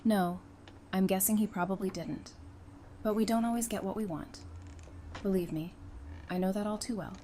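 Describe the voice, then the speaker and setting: A woman's voice: medium pitch, normal speed, long pauses, mellow, close to an everyday voice. A wry former psychiatrist teases and needles in easy banter, played by a woman. Her voice sits in the middle range. There is faint noise under the recording of this fan audio drama. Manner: dry, teasing banter. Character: Talissa, young woman psychiatrist, wry and teasing.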